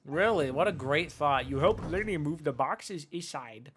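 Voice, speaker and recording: A man's voice: on the high side, lively intonation, normal speed, fairly bright tone, slight background noise. A man in his twenties giving casual, slangy live game commentary in a reactive, jokey manner. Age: 20s. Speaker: game streamer. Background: faint game music.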